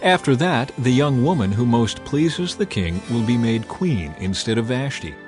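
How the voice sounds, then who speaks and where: A man's voice: medium pitch, very animated, moderate pace, a little mellow, soft background music, natural voice. A man voicing an eager, courtly royal attendant in a dramatized scripture reading, formal and persuasive. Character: eager, courtly male royal attendant advising his king.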